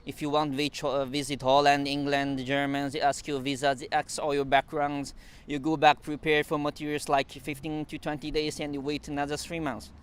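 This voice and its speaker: A man whose first language is Mandarin, speaking English with a Chinese accent in a casual interview, pausing often with fillers and restarts and chuckling now and then. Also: on the high side, level tone, clear, resonant tone. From China. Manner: halting non-native English with frequent fillers and restarts. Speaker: interview guest.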